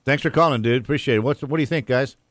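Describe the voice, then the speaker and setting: A man's voice: medium pitch, racing, a bit bright, dry recording. A man hosting a local radio talk show, breezy and joking with guests and callers. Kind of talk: casual radio chat.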